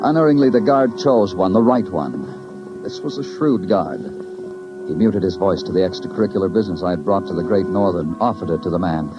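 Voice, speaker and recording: A wry American detective tells his case in clipped, literary deadpan. His voice sits a little low, in a dull old radio drama recording with prominent music behind him. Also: brisk. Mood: wry, brooding. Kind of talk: 1950s radio noir acting and narration.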